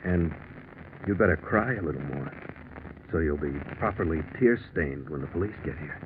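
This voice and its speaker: An actor playing a scheming lover who smoothly and insistently persuades, in 1940s radio style. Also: male voice, a bass voice, expressive, moderate pace. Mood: reassuring. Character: scheming, reassuring male lover plotting an insurance fraud. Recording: muffled, noisy 1940s radio recording.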